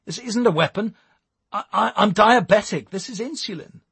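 A man's voice: a little high, fairly even intonation, measured pace, fairly bright tone, husky, no room sound, voice pushed up, heavily acted. A man voicing a nervous, evasive man caught by police, stammering and pleading in an audiobook. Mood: panicking. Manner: stammering, panicky protests.

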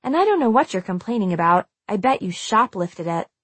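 A woman's voice: medium pitch, sing-song, fairly quick, husky, no room sound. Woman playing a sarcastic, combative teenage girl. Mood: angry, biting. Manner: biting, sarcastic. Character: sharp-tongued, combative teenage best friend, female. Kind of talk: audiobook character dialogue.

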